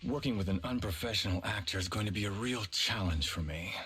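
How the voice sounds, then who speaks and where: Lowish male voice, man playing a haughty tiger actor, disdainful, pompous, video game character. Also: a little fast, a bit bright, soft background music, lightly acted. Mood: scornful.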